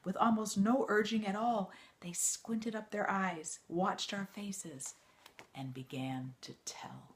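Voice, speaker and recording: A woman reading an autobiography aloud like a teacher to students, in a measured, expressive storytelling way. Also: mid-range voice, slight background noise.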